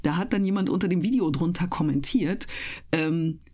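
A woman who works as a speaker, chatting casually and enthusiastically with trained, clear articulation. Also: on the low side, moderate pace, dark tone. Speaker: host of an audio channel and interview guest, professional speaker. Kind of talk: casual interview chat.

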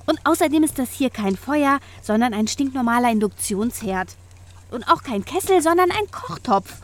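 A woman's voice: high-pitched, expressive, moderate pace. A woman playing a grumpy, lovesick young modern witch in a comic audio drama, exasperated and protesting. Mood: defiant, annoyed.

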